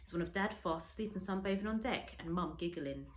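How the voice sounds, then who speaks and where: A woman teacher reading a children's storybook aloud with an expressive, dramatic storytelling delivery. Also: fairly low pitch, brisk, roomy sound, slight background noise. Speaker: schoolteacher.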